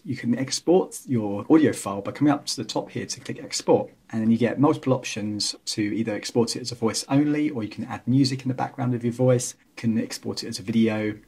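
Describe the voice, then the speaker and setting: A man with a husky voice narrating a software tutorial in a casual, explanatory way. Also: mid-range voice. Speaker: tutorial host.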